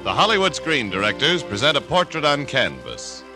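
A man's voice: medium pitch, wildly swooping pitch, normal speed. An American man narrating a vintage radio drama in a theatrical, declamatory announcer's style. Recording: old radio broadcast, loud music.

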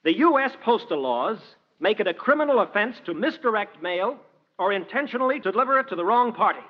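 A man's voice: sits high, lively intonation, brisk. A man playing an earnest, insistent defense lawyer in the manner of 1940s radio drama. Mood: urgent, triumphant. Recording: muffled, noisy 1940s radio broadcast.

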